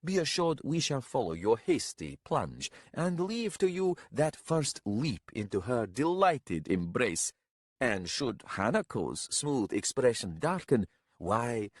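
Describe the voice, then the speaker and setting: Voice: mid-range voice, no room sound, studio quality, pitched above the performer's own voice. A man voicing a pompous older warrior, a dramatic and mocking doomsayer with florid, wailing archaic speech in a story.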